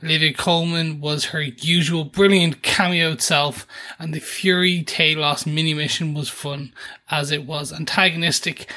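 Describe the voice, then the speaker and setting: A man's voice: a little high, steady tone, a little slow, pushed and bright. A man co-hosting a podcast, chatting casually about a TV show and reading out listener mail, sometimes repeating words as he thinks. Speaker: podcast co-host. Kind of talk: casual TV recap chat, reading listener email.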